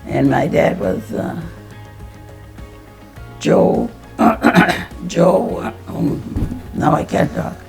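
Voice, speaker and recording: A woman in her eighties from Iowa, answering questions in a family interview, halting and searching for her words. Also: low-pitched, lively intonation, vocal fry, a little mellow, faint music behind. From Iowa, United States. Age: 80s. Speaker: interviewee, grandmother.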